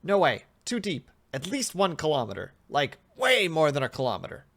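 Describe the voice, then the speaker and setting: A man's voice: a little high, sing-song, moderate pace, a bit bright, pitched above the performer's own voice. A man voicing a frightened, disgusted young woman test subject in a horror story, whiny, exclamatory and informal. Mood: uneasy. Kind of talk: horror fiction audio reading.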